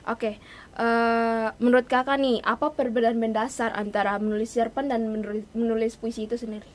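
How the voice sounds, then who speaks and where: Female interviewer, young adult, moderately high pitch, casual and conversational. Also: flat delivery, fairly quick. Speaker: interviewer, host.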